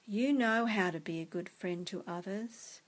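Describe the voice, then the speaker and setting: A woman guiding a meditation in a soft, gentle, soothing way. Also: medium pitch, unhurried, poor audio. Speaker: meditation guide. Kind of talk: guided meditation narration.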